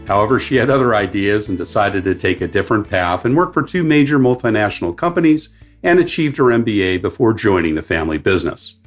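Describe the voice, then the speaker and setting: Low-voiced male podcast host, lo-fi. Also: expressive, fast talker, soft background music. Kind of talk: scripted intro then interview questions.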